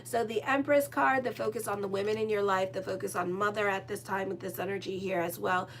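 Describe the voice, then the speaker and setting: A woman's voice: medium pitch, fairly even intonation, fairly quick, a little hiss or hum. A woman doing a casual tarot horoscope reading as an astrologer, rambling and chatty with lots of fillers and a direct manner. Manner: frank and direct with listeners.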